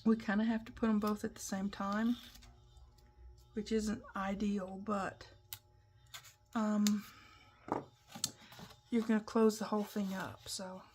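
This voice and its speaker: A woman hosting a papercraft tutorial, talking through each step in a chatty, instructive way while a little croaky. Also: mid-range voice, level tone, slow pace, long pauses, a little mellow, slight background noise. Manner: chatty, instructive, talks while working with hands. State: croaky, clearing her throat. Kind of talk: casual step-by-step craft demonstration.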